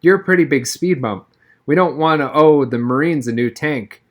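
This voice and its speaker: A man's voice: a little high, moderate pace, lightly acted. A man voicing a wisecracking, put-upon schoolboy in an audiobook, with glib excuses and complaints.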